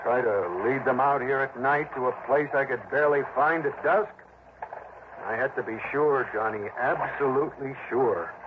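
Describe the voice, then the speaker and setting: A man's voice: mid-range voice, normal speed, natural voice. An actor playing an anxious, grim American boatman in a vintage radio drama, speaking with hesitant, weighty insistence. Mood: grim, bitter. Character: anxious, grim boatman guarding a dark secret. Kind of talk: 1950s-60s American radio drama acting. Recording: muffled, very noisy vintage radio broadcast.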